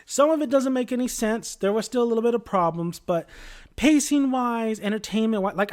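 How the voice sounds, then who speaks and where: Young man, casual spoiler review, rambling with fillers, slightly high voice, chatty. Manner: rambling, filler-heavy, loses his train of thought. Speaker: TV episode reviewer.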